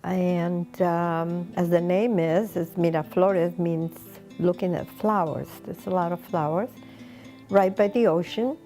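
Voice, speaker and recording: A woman in her eighties from Peru, a Spanish speaker, reminiscing in an unhurried way with pauses between short phrases. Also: on the low side, fairly even intonation, warm tone, soft background music. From Lima, Peru. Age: 80s. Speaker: interviewee recounting personal history. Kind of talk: reminiscing oral history interview.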